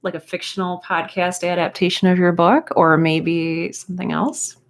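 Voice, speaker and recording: A woman's voice: fairly low pitch, little pitch movement, normal speed. A woman hosting a live stream, chatting casually and encouragingly as she greets viewers and reads out comments. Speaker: live stream host and writing teacher.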